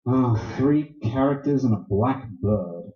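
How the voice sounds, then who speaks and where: A hardboiled, cynical private detective played by a man in a noir radio drama. He talks in terse, blunt wisecracks with animated pitch swings. The sound is dull and echoes around the room. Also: slow. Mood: wry narration. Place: live radio play performance in a roomy space.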